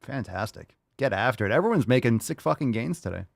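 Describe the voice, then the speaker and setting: A man's voice: medium pitch, big pitch swings, a little fast, gravelly, no room sound, studio quality. A man hosting a fitness podcast, reading listener posts aloud and cheering them on in casual, profane, hyped-up chat. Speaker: podcast host.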